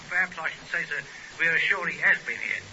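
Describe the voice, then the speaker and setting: Through dull, hissy old radio sound comes a flustered police sergeant. His voice is high and very piercing, and he is hesitant and over-polite, forever deferring to his superior in a radio crime play. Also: moderate pace, pushed and bright. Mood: deferential. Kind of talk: 1950s radio drama acting. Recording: muffled, hissy 1950s radio recording.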